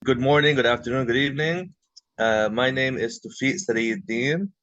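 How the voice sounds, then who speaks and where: A man from Lebanon, a podcast interview guest, talking in an articulate, relaxed, conversational way. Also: mid-range voice, normal speed, dry recording, lo-fi sound. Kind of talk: casual interview.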